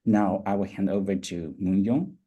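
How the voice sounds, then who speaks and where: A very mellow, dark male voice with a husky grain, pitched a little low. He reads out formal scholarly introductions in the manner of an editor presenting a panel. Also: steady tone, moderate pace. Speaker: journal editor introducing speakers. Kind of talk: reading formal academic introductions.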